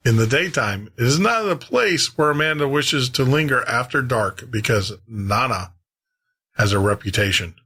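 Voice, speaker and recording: A man hosting a late-night podcast, presenting casually with measured pauses. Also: mid-range voice, pushed and bright. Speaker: podcast host.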